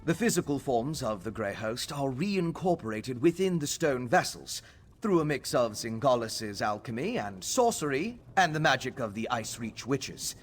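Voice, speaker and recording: An actor playing a learned male alchemist scholar in a video game, measured and explanatory. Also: medium pitch, sing-song. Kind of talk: studio video game voice acting.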